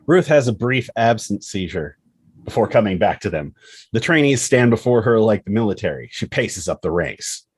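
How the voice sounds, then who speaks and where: A man's voice: fairly low pitch, big pitch swings, normal speed, husky, no echo. A man reading scripted screenplay action and scene headings as the narrator.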